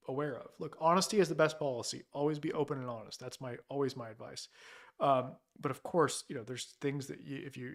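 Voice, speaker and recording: A man's voice: mid-range voice, vocal fry, a bit bright. Man answering live-stream questions. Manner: loose and conversational, many fillers and restarts, reads out viewer questions. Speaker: immigration lawyer hosting a live Q&A.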